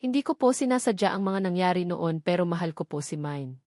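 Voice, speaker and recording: Steady, respectful young man, read by a woman narrator. Firm, polite and pleading. Dry sound. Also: on the low side, a little fast, dry recording, close to an everyday voice. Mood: earnest pleading.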